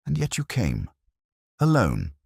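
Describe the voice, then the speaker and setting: A man voicing a composed, guarded woman conspirator with a low voice in an audiobook mystery. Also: wildly swooping pitch, takes it slowly, vocal fry, darkish tone, slightly rough, no echo, clean studio sound, exaggerated voice.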